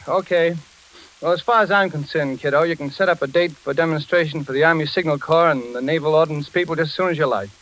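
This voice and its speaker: An actor playing a brisk, slangy engineer who frets over his friend in a 1940s radio drama. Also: fairly high pitch, fairly bright tone, lightly acted. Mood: brisk, cheerful. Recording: noisy, crackling vintage radio recording.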